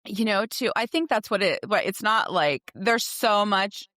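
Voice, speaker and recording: A woman's voice: medium pitch, measured pace, pushed and bright, no room sound, studio quality. A woman in her forties co-hosting a podcast in a casual, candid and emphatic chat. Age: 40s. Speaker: podcast co-host.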